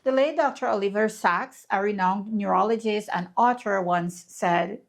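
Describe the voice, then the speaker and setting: A woman's voice: mid-range voice, moderate pace, vocal fry. A woman, telling her own story in an earnest, candid and reflective scripted vlog narration. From Guyana. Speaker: vlogger.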